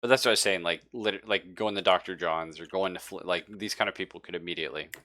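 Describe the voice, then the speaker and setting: A man with a deep but bright, forward voice acts as game master for a tabletop horror game. He improvises his narration in a chatty, emphatic way, often paraphrasing as he explains. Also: quick pace.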